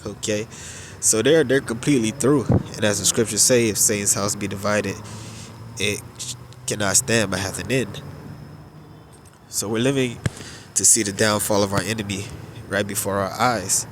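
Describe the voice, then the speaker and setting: A man with a stuffed-up, nasal voice giving unhurried religious commentary, drawing out his phrases with filler pauses. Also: fairly low pitch, steady tone, noisy background.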